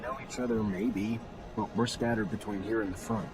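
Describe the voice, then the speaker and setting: A man's voice: on the low side, steady tone, normal speed, lightly acted. A man playing a dutiful young soldier in a video game, restrained, polite and respectful. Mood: matter-of-fact. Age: young adult. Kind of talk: video game cutscene acting. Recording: music behind the voice.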